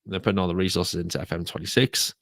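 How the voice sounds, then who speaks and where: A British man hosting a solo podcast, talking casually and repeating himself, croaky from a sore throat. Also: sits low, fairly even intonation, fast, fairly bright tone, dry recording, studio quality. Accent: British English. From United Kingdom. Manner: rambling, repeats words. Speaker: podcast host.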